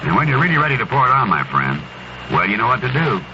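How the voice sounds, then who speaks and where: A man's voice: medium pitch, very animated, quick pace. A man reading a folksy radio advertisement, chummy and speaking directly to the listener. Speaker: commercial pitchman. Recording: dull noisy 1970s radio broadcast.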